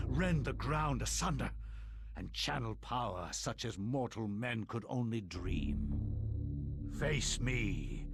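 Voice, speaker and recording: An actor playing an ancient undead male lich, speaking slow, grandiose, archaic threats in a video game. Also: medium pitch, gravelly, noisy background. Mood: menacing. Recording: echoey game audio with loud music.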